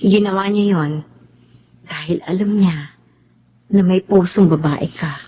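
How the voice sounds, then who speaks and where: Actress as slow-speaking devoted Filipina, dull noisy audio. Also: female voice, on the low side, fairly even intonation, mellow, lightly acted. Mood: pleased, probing. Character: devoted Filipino woman in love, tender and hopeful. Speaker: drama actress. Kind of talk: Filipino radio drama acting.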